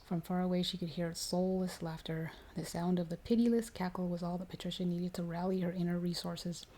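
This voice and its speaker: A woman reads a horror story for ASMR listeners. Her voice is lowish and very dark and soft. She keeps a measured pace of storytelling, with dramatic emphasis when characters speak. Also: level tone, a little hiss or hum. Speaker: ASMR storyteller reading aloud.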